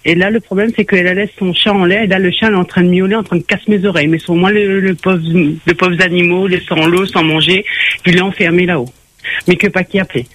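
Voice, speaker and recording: A woman's voice: fairly low pitch, steady tone, fast talker, a bit bright, rough recording. A woman calling a radio show, earnest, rambling and indignant. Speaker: caller. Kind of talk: phone-in chat. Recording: radio phone-in.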